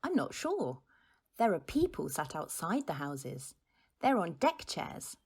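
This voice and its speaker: A patient, gentle mother in an audio play. She speaks slowly and a little hesitantly, with a fairly low voice that rises and falls a great deal. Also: big pitch swings, breathy edge, full character voice. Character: patient mother describing the view to her child. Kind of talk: audio drama acting.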